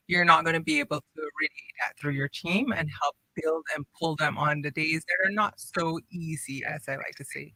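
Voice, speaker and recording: A woman's voice: low voice, pushed and bright, dry recording, poor audio. A young woman from Guyana, raised in the Cayman Islands, answering radio interview questions earnestly and upbeat. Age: young adult. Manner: earnest, upbeat, explains at length. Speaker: hospital operations manager, guest. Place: radio studio.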